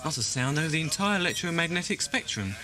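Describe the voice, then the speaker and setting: A man playing a dutiful, chatty starship officer in a science fiction audio drama, reporting crisply and formally. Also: on the high side, expressive, quick pace, lightly acted. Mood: brisk, dutiful. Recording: music-backed amateur 1980s audio drama. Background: music.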